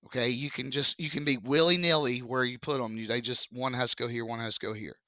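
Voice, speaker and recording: A male math teacher with a deep, froggy voice, explaining a lesson slowly and joking with the class. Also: steady tone, sharp tone, no echo. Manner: joking, chatty, slow step-by-step explaining. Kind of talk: casual classroom lesson video.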